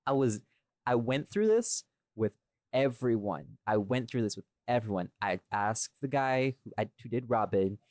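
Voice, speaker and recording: A man's voice: medium pitch, lively intonation, normal speed, a little mellow, dry recording, clean studio sound. A man co-hosting a casual, chatty podcast discussion, speaking emphatically and repeating phrases for stress. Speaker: audio series creator and podcast co-host.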